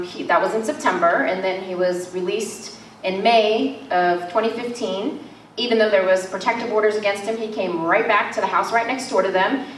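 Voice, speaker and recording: A woman's voice: medium pitch, far from the mic, a little hiss or hum. Young woman, earnest, pleading, panel talk, handheld mic, echoey hall. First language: Arabic or Turkish. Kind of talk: panel discussion. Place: large church hall. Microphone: handheld mic at a podium.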